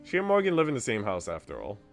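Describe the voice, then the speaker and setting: Casting: male game streamer reading story narration, lower voice with animated intonation, light music behind. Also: fast. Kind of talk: reading visual novel narration aloud with chat asides.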